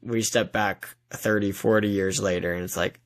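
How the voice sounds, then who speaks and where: A man in his thirties chatting casually as an interview guest, acting out bits of imagined dialogue in an animated, informal way. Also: fairly low pitch, moderate pace. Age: 30s. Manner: animated storytelling, acts out imagined dialogue, frequent "like".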